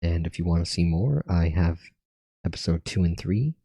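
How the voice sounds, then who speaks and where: A man with a low, creaky voice gives relaxed commentary on a game story. His tone is mellow and dark, and music plays softly behind him. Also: moderate pace, dry recording, clean studio sound. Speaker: video creator reading game cutscenes aloud. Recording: music under the voice.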